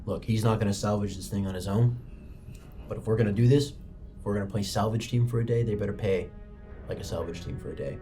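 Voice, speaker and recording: A man's voice: on the low side, steady tone, quick pace, warm tone, soft background music, close to an everyday voice. A man playing a firm, pragmatic spaceship captain in a tabletop game, blunt and protective of the crew. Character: firm, protective male freighter captain, hard bargainer. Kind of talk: improvised tabletop roleplay acting.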